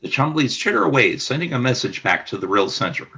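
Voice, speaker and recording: Husky, forceful male narrator. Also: medium pitch, big pitch swings, fast. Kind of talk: scripted radio play narration.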